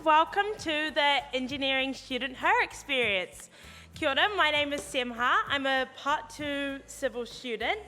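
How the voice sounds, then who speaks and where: Young NZ woman hosting a student evening, high and bright, upbeat, long hall reverb. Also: moderate pace, soft background music. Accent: New Zealand. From New Zealand. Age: young adult. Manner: upbeat and encouraging. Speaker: student presenter. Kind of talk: presenting to an audience.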